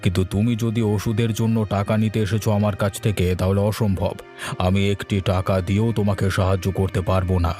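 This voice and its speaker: A man's voice: lowish voice, flat delivery, quick pace, warm tone, faint music behind, close to an everyday voice. A man playing a stern, distrustful older uncle in a cartoon, firm and unyielding. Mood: stern refusal.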